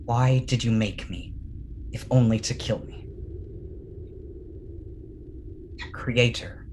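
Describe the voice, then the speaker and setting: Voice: mid-range voice, fairly even intonation, darkish tone, slight background noise, close to an everyday voice. An actor playing Frankenstein's creature, tormented and pleading with its maker in a dramatic staged reading. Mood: wounded, questioning. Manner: slow, deliberate, imploring.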